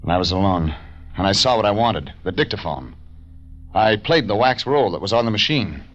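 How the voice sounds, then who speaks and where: An actor playing a tough, wry private detective in clipped 1940s radio drama style. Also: male voice, lowish voice, animated, normal speed, close to an everyday voice. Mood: terse, suspenseful. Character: tough, wry male private detective narrating his case. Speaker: actor playing the lead and narrator. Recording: dull old radio recording with music.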